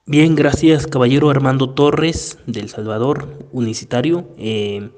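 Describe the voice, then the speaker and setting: A man's voice: mid-range voice, a little slow, a little mellow, in a large hall. A man moderating a religious debate in a formal, courteous manner, addressing the participants and setting out the rules. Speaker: debate moderator and channel host.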